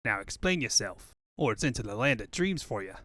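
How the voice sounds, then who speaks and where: A man's voice: medium pitch, very animated, a little fast, pushed and bright, no room sound, studio quality. A man voicing a cocky, flirty secret agent and rebel leader, with a put-on Australian accent and suave, slangy speech. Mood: cocky, threatening. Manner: suave, slangy, formal flourishes.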